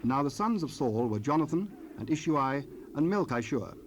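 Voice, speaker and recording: A man narrating scripture from a script in a solemn, measured manner. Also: medium pitch, big pitch swings. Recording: noisy cassette rip, ambient sound.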